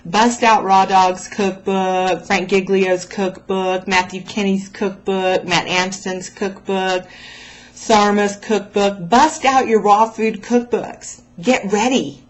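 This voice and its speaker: A woman's voice: mid-range voice, fairly even intonation, slow pace, low-quality recording. A woman talking casually and motivationally to her followers as a vlog host, addressing the listener directly with encouraging emphasis. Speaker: host of a raw food vlog.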